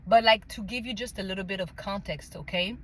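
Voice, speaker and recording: A woman with a French accent shares personal stories in an unscripted, emphatic way, stopping to check that the listener follows. Her voice sits at a middle pitch, and some ambient background noise runs behind it. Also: a little fast. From France and Mali. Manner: emphatic, often checks in with "okay?" and "right?". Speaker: spiritual vlogger sharing personal story. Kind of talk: casual unscripted personal storytelling.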